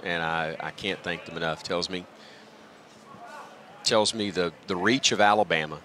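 Middle-aged guy with a really low voice, chatting on a sports broadcast, self-deprecating, a bit of stadium noise behind. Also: normal speed, pushed and bright. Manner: self-deprecating, joking, reflective. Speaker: guest, radio play-by-play announcer. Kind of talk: conversational broadcast booth interview. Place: stadium press booth at a baseball game. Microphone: broadcast headset. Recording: outdoor ballpark ambience behind voice.

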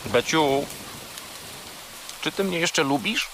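An actor voicing a small furry toy companion, childlike, greedy and melodramatic, in a children's audio drama. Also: male voice, on the high side, animated, slow, background noise. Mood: plaintive. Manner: plaintive, melodramatic.

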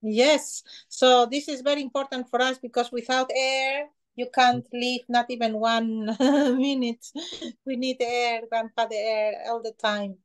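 A middle-aged woman from Peru, speaking slowly and reverently as a healer on a video call. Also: fairly high pitch, fairly even intonation. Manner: slow, soft-spoken, reverent gratitude lists. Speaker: shamanic healer guest. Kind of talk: spiritual talk on a video call. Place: small decorated room indoors. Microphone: video call webcam.

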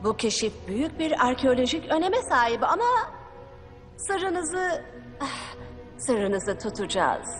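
A woman's voice: high voice, sing-song, takes it slowly, soft background music, heavily acted. A woman playing a keen, sincere archaeologist mother in a dubbed cartoon. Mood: earnest.

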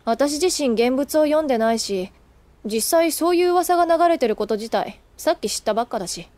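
A woman's voice: sits high, lively intonation, brisk, darkish tone, dry recording. Teasing senior schoolgirl, swooping intonation. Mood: matter-of-fact. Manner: playful, casual. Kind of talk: anime audio drama acting.

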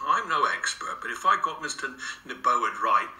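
A man's voice: mid-range voice, sing-song, moderate pace, pushed and bright. A man acting in a radio drama as a homely, unflustered farm labourer with a folksy, plain-spoken manner. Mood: unflustered, puzzled. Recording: roomy, distant, faint background noise.

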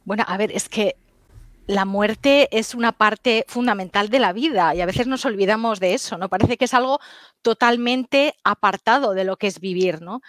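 A woman's voice: medium pitch, normal speed, no room sound. Thoughtful Galician woman on headset. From Galicia, Spain. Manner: thoughtful, frequent hesitations and tag questions. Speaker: historian and author guest. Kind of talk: video call interview. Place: home study lined with bookshelves. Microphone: headset on video call.

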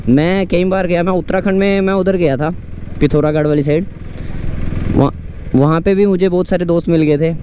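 A man's voice: high-pitched, fairly even intonation, brisk, mellow, lo-fi sound, slight background noise. A man chatting casually to camera as a travel vlogger, often repeating his phrases. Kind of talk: casual vlog chat to camera.

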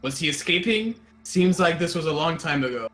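A man's voice: fairly high pitch, expressive, a little fast, a bit bright. A man casually commenting on a game and reading its dialogue aloud as he streams. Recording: game music clearly behind.

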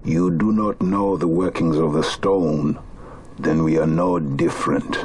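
An actor playing a solemn, aged chief of a horned beast tribe in a video game, with grave, archaic, oracular phrasing. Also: male voice, a bass voice, fairly even intonation, a little slow, a little mellow, slight background noise. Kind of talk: dramatic video game voice acting.

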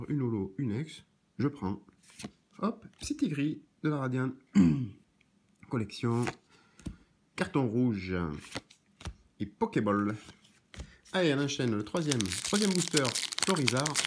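Man, mid-pitched voice, slightly hoarse, casual card-unboxing chatter, rattles off card names. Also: slight background noise. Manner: reads card names in quick succession, chatty asides. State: slightly hoarse, clearing throat. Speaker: card shop owner and YouTuber. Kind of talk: casual card-opening vlog. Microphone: camera framing hands and cards, speaker unseen.

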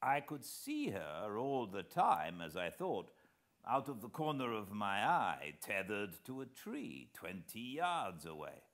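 A man voicing a wise, unhurried older Frenchman telling a hunting tale very slowly and deliberately in an audiobook reading. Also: fairly low pitch, wildly swooping pitch, a bit bright, pitched above the performer's own voice, full character voice. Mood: suspenseful, reflective. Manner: very slow, deliberate, measured storytelling.

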